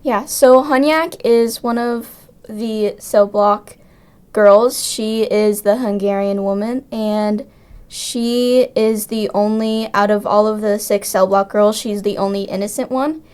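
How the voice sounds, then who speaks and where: A woman's voice: medium pitch, steady tone, slow, a little mellow, smooth. A teenage girl, a student director and cast member, answering interview questions chattily with lots of ums and likes. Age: teens.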